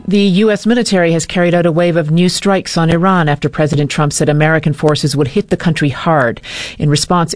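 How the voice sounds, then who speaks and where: News presenter, measured scripted read, dry close sound. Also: mid-range voice, no echo.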